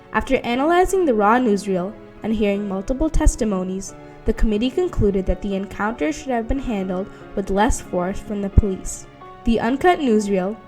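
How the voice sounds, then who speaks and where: A woman's voice: a little high, darkish tone. A woman reading scripted documentary narration. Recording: music under the voice. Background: background music.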